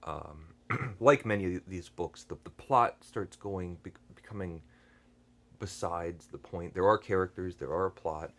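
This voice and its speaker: A man hosting a book channel, talking through a review unscripted, hesitant and earnest, with many fillers and restarts and frequent throat clearing. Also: deep voice, measured pace, a bit bright. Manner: thoughtful and earnest.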